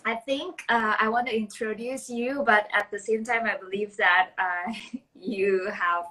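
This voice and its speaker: Woman host with a crisp bright tone, halting and word-searching, on a video call. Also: mid-range voice, steady tone, low-quality recording. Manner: searching for words, many restarts and fillers. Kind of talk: casual interview chat.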